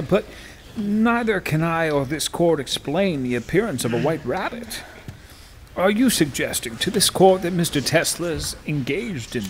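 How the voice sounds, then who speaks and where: A man playing a stern, skeptical judge in a courtroom audio drama, with a pompous, formal and deliberate manner. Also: a little high, a little hiss or hum.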